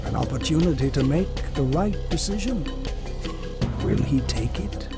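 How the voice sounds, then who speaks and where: An actor playing an ominous, knowing host who narrates a macabre audio drama in a measured, sinister manner. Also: male voice, a little high, big pitch swings, vocal fry, exaggerated voice. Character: ominous, knowing older male horror-anthology narrator. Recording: loud music bed under the voice. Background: loud music.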